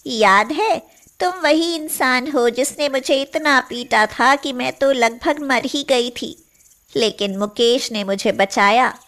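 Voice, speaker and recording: A woman plays a magical golden cow in an animated moral tale. She speaks in a fairly high voice and takes her time over every phrase. She sounds gentle, solemn and just, like one who hands out rewards. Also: slow pace. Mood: stern, measured. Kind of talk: animated moral story dialogue in Hindi.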